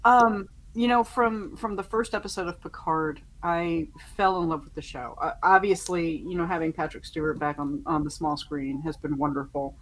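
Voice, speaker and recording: A woman chatting casually as a podcast panelist, giving fan opinions in a halting, conversational way. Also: on the low side, fairly even intonation, a bit bright, rough recording.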